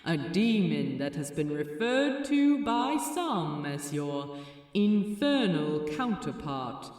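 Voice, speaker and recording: A woman voicing a cool, impatient seraph messenger of heaven, formal and commanding, with a soft lilt and dry mockery. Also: on the low side, big pitch swings, slow, very echoey, long reverb tail, distant mic, soft background music. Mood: stern, formal.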